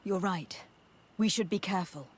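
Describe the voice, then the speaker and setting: An actress playing a resolute young noblewoman diplomat in a video game, speaking in a measured, earnest and formal way. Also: lowish voice, breathy edge. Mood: determined. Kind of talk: video game cutscene acting.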